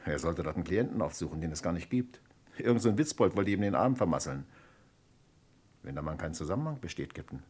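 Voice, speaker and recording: An actor playing a nervous, plain-spoken neighbour as a witness in an old German radio crime drama, halting and colloquial yet emphatic. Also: male voice, sits low, expressive, darkish tone. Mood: matter-of-fact. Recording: vintage radio play with clear background noise.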